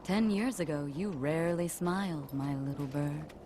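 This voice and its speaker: A woman's voice: sits low, normal speed, warm tone, a little hiss or hum, close to an everyday voice. A woman playing a proud, fierce warrior chief who is fond and protective, speaking in a commanding, stately way in a game cutscene. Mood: fond, solemn. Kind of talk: dramatic game cutscene acting. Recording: music behind the voice.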